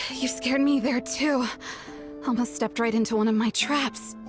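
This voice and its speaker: Guarded, slow-spoken young scout. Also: female voice, on the high side, over music. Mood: wary, casual. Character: guarded, conflicted young female trap-setting scout. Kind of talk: video game cutscene voice acting.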